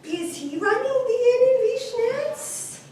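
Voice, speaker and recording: A woman storyteller voicing an excitable man who exclaims, repeats himself and wails over bad news. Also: extremely high pitch, measured pace, pitched above the performer's own voice. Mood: anxious questioning. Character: excitable male host, wailing over bad news and lost money. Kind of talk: live folktale storytelling. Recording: echoey room, distant mic, faint noise.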